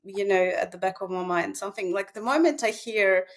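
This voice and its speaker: A woman with a mid-range voice talks in a reflective, unscripted conversation. She feels her way through each thought haltingly, as a therapist exploring ideas out loud. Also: mid-range voice. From Russia. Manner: halting, thinking aloud, frequently laughing. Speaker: psychotherapist guest.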